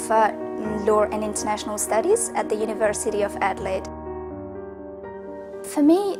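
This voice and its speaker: A woman of twenty with an Afghan accent, giving an earnest, reflective personal testimonial as a student. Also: on the high side. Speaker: university student telling their own story. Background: music.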